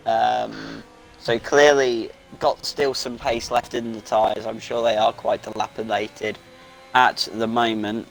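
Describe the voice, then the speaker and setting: A man's voice: lowish voice, little pitch movement, slow, gravelly, low-quality recording. A man co-commentating a sim race in a casual, chatty way with frequent fillers. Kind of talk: live sim racing commentary.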